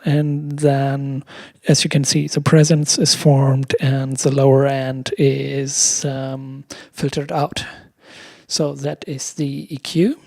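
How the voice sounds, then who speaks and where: Man, thirties, mellow tone, dry close mic, hesitant, casual tutorial host. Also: fairly high pitch, husky, dry recording. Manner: hesitant, searching for words. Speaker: host of a music production tutorial. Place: room at home. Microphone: close desk microphone with headphones.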